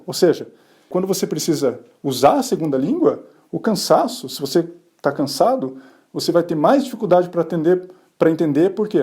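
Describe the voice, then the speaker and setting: A man's voice: a little high, darkish tone. A man hosting a podcast, explaining the science of bilingualism in a casual, conversational way, often asking and answering his own questions. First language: Portuguese. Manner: tag questions and fillers.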